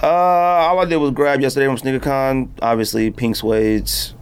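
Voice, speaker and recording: An American man hosting a podcast in casual chat, telling stories in a slangy, animated way. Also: mid-range voice, moderate pace, smooth. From United States. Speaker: podcast host. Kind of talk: casual chat about sneakers and hats. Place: podcast studio.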